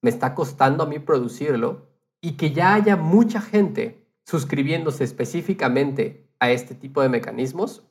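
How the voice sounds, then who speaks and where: A man's voice: on the high side, moderate pace, darkish tone. A man talking casually as a guest on a podcast about his field. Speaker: podcast guest, audio producer.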